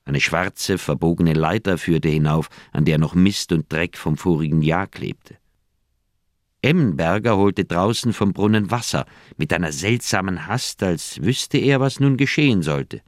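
An actor playing a grave older doctor in a German radio play, recounting a haunting memory in measured, literary phrases with dramatic pauses. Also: male voice, sits low, expressive, dry recording, clean studio sound. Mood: uneasy, grave.

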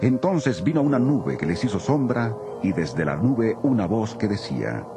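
A man's voice: fairly low pitch, big pitch swings, normal speed. A man narrating a dramatized Bible in a solemn storytelling style. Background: soft music.

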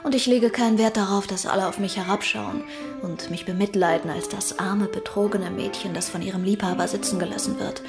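A woman's voice: medium pitch, fairly even intonation, fairly quick, music in the background, close to an everyday voice. An actress as a flippant worldly young woman. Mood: giddy, ironic. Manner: theatrical, breezy, ironic, laughing easily. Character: flippant, worldly young woman hiding hurt behind laughter. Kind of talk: German radio drama acting.

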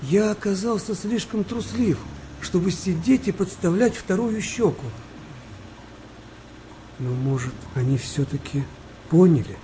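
An actor playing a kind, weary wartime sailor nearing fifty in a radio play, speaking gently and reflectively with a wry touch. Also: male voice, high voice, animated, unhurried, long pauses, a little hiss or hum, low-quality recording. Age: middle-aged. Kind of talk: naturalistic radio play dialogue.

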